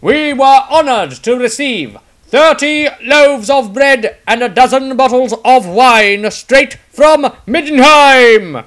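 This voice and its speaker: A man's voice: extremely high pitch, expressive, takes it slowly, fairly bright tone, voice pushed up, full character voice. A man voicing a proud veteran army captain in his fifties, making a grand, rallying public speech in a fantasy audiobook.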